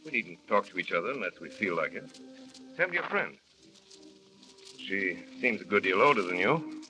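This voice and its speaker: An actor in a 1940s radio drama playing a brooding, lonely wealthy widower with a clipped, brusque manner. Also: male voice, sits low, sing-song, normal speed, pushed and bright, faint music behind, exaggerated voice, rough recording.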